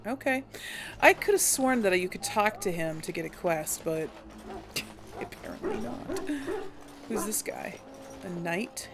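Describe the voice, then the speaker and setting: A woman's voice: mid-range voice, sing-song, unhurried, pauses often. A young woman casually commentating as she plays a video game, chatty and amused, thinking aloud. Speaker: let's play host.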